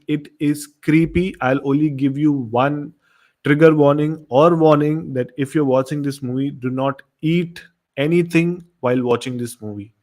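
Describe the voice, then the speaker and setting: A man's voice: fairly high pitch, darkish tone. A man with an Indian accent hosting a horror show, chatting casually to his listeners and repeating phrases for emphasis. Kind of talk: casual livestream chat to listeners.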